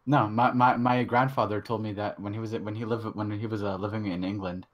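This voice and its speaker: A man's voice: fairly low pitch, steady tone, fairly quick. A young man in a casual chat, hesitant but insistent, often trailing off.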